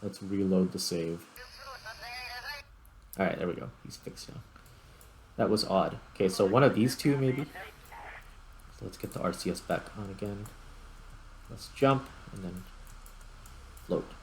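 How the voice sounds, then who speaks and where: A man with a fairly low voice narrates his own gameplay in a casual way. He thinks aloud in short, broken phrases as he goes. There is noticeable background noise on the recording. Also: measured pace, long pauses, rough recording. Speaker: gaming YouTuber, host.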